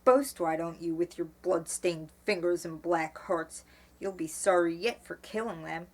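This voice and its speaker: A woman voicing an indignant, sharp-tongued older woman in a storybook, scolding and emphatic. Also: on the low side, measured pace. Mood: bitter.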